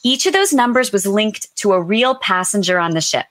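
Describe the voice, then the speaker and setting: A woman co-hosting an online book talk in a lively, playful, chatty style. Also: medium pitch, moderate pace, no echo. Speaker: co-host.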